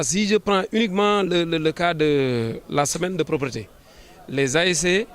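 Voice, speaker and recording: A man with a Senegalese accent answering an interview as a government official, in formal administrative phrasing, often halting and repeating words. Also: fairly high pitch. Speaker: government official, sub-prefect.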